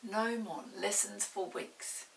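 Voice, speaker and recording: Bright-voiced woman reading a children's story. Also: medium pitch, steady tone, slow pace, gravelly. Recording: slightly distant mic, faint hiss.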